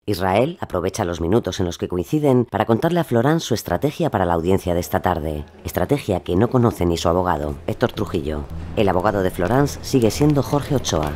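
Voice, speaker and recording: A man's voice: sits low, animated, brisk, darkish tone, slight background noise. A man delivering scripted, dramatic narration for a true-crime audio documentary.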